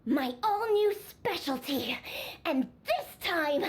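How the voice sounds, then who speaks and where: Anime game cat-girl child, very high and swoopy, bratty, stubborn, game music underneath. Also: animated, takes it slowly. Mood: frantic, exasperated. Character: young cat-girl bartender, tsundere, exasperated and stubborn.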